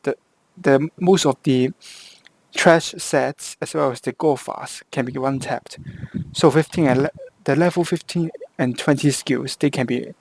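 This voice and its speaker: A man's voice: medium pitch, gravelly, dry recording, rough recording. A man from Hong Kong, a native Cantonese speaker with a Hong Kong English accent, narrating a game tutorial and often restarting and correcting himself. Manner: halting, with frequent restarts and self-corrections. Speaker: gameplay guide creator.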